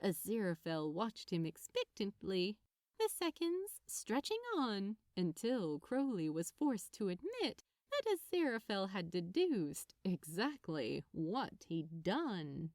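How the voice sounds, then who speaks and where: Animated woman reading a story, unhurried. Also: mid-range voice, no room sound, clean studio sound. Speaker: fan fiction narrator. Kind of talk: expressive scripted story narration.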